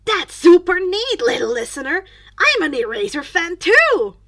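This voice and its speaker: An exuberant male hero teacher as a woman reader plays him booms out in a high, theatrical voice. The delivery swings dramatically up and down, as in a fan fiction audiobook. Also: sing-song, unhurried, fairly bright tone, full character voice. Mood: loud, cheerful.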